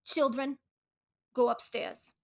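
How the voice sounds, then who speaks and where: A woman's voice: sits high, steady tone, slow, vocal fry, exaggerated voice. An actress playing a scornful, nagging mob wife and mother in a comic radio play, sarcastic and scolding.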